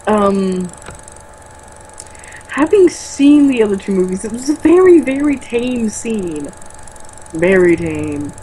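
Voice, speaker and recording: A woman's voice: mid-range voice, very animated, takes it slowly, pauses often, warm tone, slight background noise, poor audio. A woman reading a book aloud as a co-host, mocking it with sarcastic, exasperated comments.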